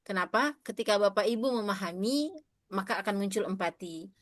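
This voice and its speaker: A woman's voice: medium pitch, brisk, dry recording, studio quality. A woman in her thirties with an Indonesian accent, giving an interactive lecture to teachers, often addressing them directly and asking questions, with frequent fillers.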